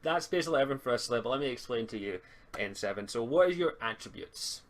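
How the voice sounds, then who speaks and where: A man's voice: mid-range voice, animated, fast. A man acting as game master, explaining tabletop game rules over an online call, often stammering and restarting his words.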